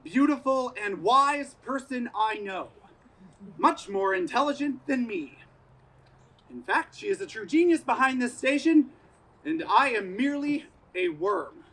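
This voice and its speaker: A man's voice: extremely high pitch, expressive, a little slow, full character voice, poor audio. A man playing a vain, melodramatic, panicky radio announcer in a theatrical stage parody. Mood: grudging, grandiose. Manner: theatrical, overwrought shouting. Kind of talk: 1930s-style radio broadcast parody, stage acting. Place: outdoor community theater stage in parking lot. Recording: outdoor stage recording, faint noise.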